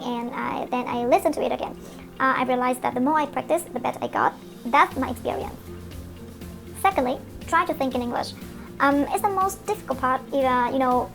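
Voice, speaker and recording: High, gravelly-voiced Vietnamese woman teaching. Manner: earnest and encouraging, with frequent fillers. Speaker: English and IELTS coach, podcast host. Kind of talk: solo podcast lesson. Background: faint background music.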